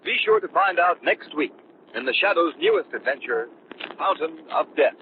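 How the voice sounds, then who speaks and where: Animated, high-voiced 1930s radio announcer, male. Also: normal speed. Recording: tinny, hissy 1930s radio broadcast.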